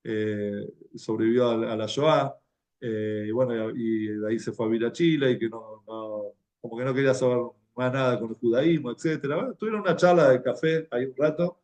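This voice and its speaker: A man with an Argentine Spanish accent giving an informal religious talk, telling anecdotes in a halting, conversational way and repeating words as he goes. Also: mid-range voice, a little mellow. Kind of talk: informal sermon, anecdotal storytelling.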